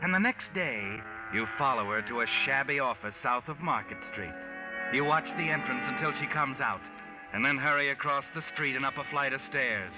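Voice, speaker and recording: An actor playing a knowing, sardonic mystery narrator, telling the story ominously in 1940s radio style. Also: male voice, medium pitch, normal speed, music in the background, lightly acted, rough recording. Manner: ominous, second-person storytelling.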